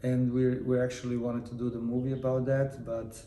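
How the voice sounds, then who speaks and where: A man's voice: medium pitch, flat delivery, brisk, mellow. A man, a guest chatting casually and rambling a little as he answers. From Croatia. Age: 50s. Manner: easygoing, rambling with restarts. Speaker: guest, film producer and actor. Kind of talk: casual interview talk. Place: room in Los Angeles. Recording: echoey room, faint noise.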